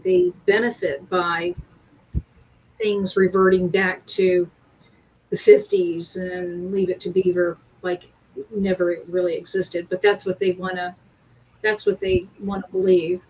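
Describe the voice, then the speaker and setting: A woman's voice: medium pitch, vocal fry, husky. A woman speaking casually and conversationally as a guest on an online talk show. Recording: dull, lo-fi call audio.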